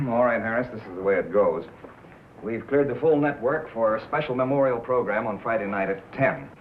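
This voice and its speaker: A man's voice: mid-range voice, normal speed, a little hiss or hum, lightly acted. A man acting as an authoritative, businesslike network executive in a 1950s film drama, matter-of-fact and commanding. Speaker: actor in a feature film. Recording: muffled narrow vintage film soundtrack.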